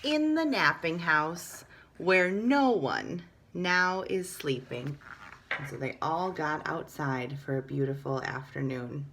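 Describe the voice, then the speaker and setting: A woman teacher reading a picture book aloud to young children, with sing-song, drawn-out phrasing, hushed moments and explanatory asides. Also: sits low, expressive, slight background noise.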